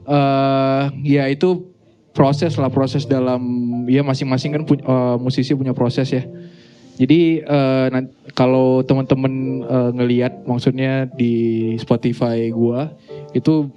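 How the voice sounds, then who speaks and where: Young male musician, mellow tone, casual interview guest, music behind. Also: medium pitch, level tone, slow, highs cut off. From Sumatra, Indonesia. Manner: informal, slangy.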